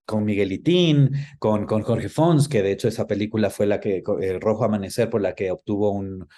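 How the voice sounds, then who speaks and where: A man answering interview questions reflectively, often pausing to search for words. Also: fairly low pitch, mellow. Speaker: actor, interview guest.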